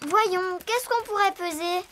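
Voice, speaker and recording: An actor voicing an upbeat, bossy little girl in a children's cartoon, with an eager childlike voice. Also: unhurried. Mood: eager, encouraging. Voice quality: very high childlike voice. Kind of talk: French-dubbed preschool cartoon dialogue. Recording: loud music behind the voice.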